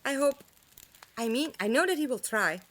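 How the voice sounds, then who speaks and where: A woman plays a plucky young traveller with a high, very animated voice. She speaks frankly and informally, with a kind, reassuring way, as in an audio drama. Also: normal speed. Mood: candid, uncertain.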